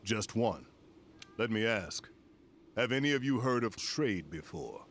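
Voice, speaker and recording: A man's voice: lowish voice, big pitch swings, fairly bright tone, slight background noise, exaggerated voice. Grave, commanding male general. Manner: formal, measured, portentous. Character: grave, commanding older general delivering a solemn briefing. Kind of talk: dramatic video game cutscene dialogue.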